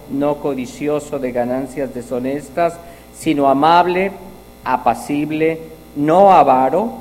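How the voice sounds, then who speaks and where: A man from Mexico with a Mexican accent, preaching a sermon with prayer and scripture reading, slow and halting, often repeating his words. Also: mid-range voice, a little mellow. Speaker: visiting preacher. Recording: long hall reverb, faint noise.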